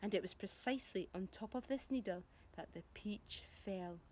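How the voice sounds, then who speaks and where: A woman reading a storybook aloud to children as a teacher would, animated and expressive, speaking directly to them. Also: mid-range voice, moderate pace, slight background noise. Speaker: primary school teacher reading to pupils.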